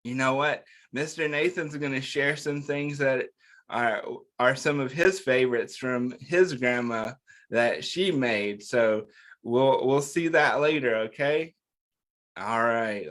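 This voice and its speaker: A man's voice: mid-range voice, slow pace, a bit bright. A man hosting children's storytime, reading a picture book aloud and talking directly to young listeners with simple, encouraging questions. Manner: talks directly to young listeners, asking questions and answering them.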